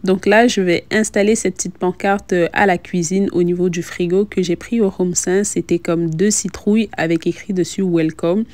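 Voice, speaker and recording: A young woman narrates a home decoration vlog in a casual, chatty way. Her voice sits a little low and has a very mellow, soft-edged tone. She speaks straight to her viewers as the host of her own channel. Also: steady tone, normal speed, hardly any pauses, dry recording. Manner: chatty, addresses viewers directly. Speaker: lifestyle vlogger and host.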